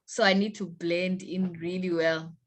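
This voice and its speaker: Young woman, Zimbabwean accent, slow, hesitant, halting interview guest. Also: lowish voice, fairly even intonation. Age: young adult. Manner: hesitant, halting, repeats words. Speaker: guest, university student.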